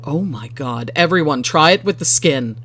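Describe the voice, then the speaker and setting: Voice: mid-range voice, expressive, normal speed, fairly bright tone, a little hiss or hum. A storyteller voicing an enthusiastic, instructive man who adores exotic fruit in a short story. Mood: awed delight. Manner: instructive, absorbed, adoring. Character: Thomas, enthusiastic male host explaining an exotic fruit.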